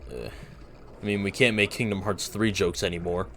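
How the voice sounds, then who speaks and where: A young man casually chatting while streaming a video game, relaxed and easygoing. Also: deep voice, normal speed, slight background noise. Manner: relaxed, talks while playing, fillers and restarts. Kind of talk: casual gameplay chat.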